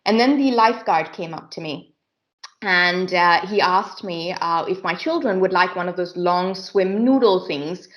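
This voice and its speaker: A middle-aged woman from Singapore giving a presentation with personal stories, conversational and reflective, pausing as she thinks. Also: on the low side, a bit bright. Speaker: inspirational speaker and author.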